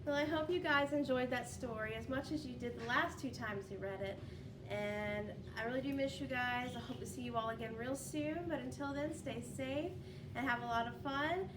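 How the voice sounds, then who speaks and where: A woman teacher reading a story aloud to young pupils, expressive and often addressing listeners with questions. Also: high-pitched, normal speed. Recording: roomy, distant home recording with noise.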